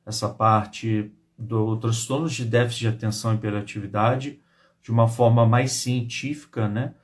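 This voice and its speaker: A man's voice: on the low side, fairly even intonation, moderate pace. Friendly male live-stream host. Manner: friendly, fills his speech with tag questions. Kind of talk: hosting a live interview.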